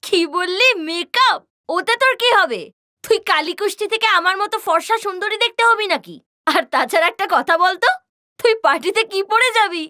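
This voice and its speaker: A woman's voice: very high voice, animated, measured pace, pushed and bright, no echo, studio quality, full character voice. A woman voicing a spiteful, haughty cartoon woman who mocks and scolds her relative. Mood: mocking. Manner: mocking, scornful, scolding. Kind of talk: cartoon story dialogue.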